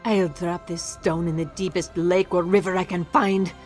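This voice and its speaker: A woman's voice: fairly low pitch, steady tone, moderate pace, faint music behind, lightly acted. A woman playing an anxious, grieving and bitter lover in scripted fantasy game drama. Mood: sombre.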